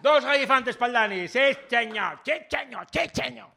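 A man's voice: very high-pitched, creaky, over loud music. A man with an Asturian accent hosting a community radio show, chatting and joking casually as he interviews guests. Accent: Asturian Spanish. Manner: chatty, jokey, warm questioning with quick interjections. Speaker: radio host.

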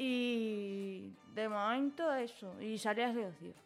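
A young woman from Spain in her twenties answers interview questions slowly and briefly, sounding shy. Her voice sits a little high. Faint music plays underneath. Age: 20s. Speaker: radio guest. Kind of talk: casual radio interview.

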